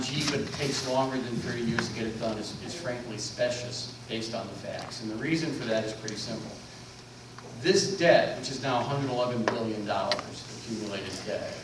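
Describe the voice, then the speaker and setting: A man on a panel at a public forum, explaining policy in an emphatic, numbers-heavy way. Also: medium pitch. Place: large echoey meeting room. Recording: echoey, distant room recording with noise.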